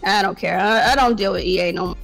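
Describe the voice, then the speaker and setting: A woman's voice: medium pitch, quick pace, vocal fry, over music, rough recording. A woman hosting a casual game talk show, blunt and swearing freely. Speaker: podcast host. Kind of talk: casual chat about games.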